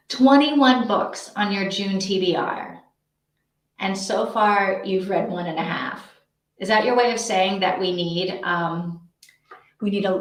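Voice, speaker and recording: A woman hosts a relaxed-format livestream chat in an average-pitched voice. She talks casually and ramblingly, working out her thoughts aloud. The room around her gives the voice a clear echo. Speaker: host of a live reading sprint stream. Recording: echoey untreated room.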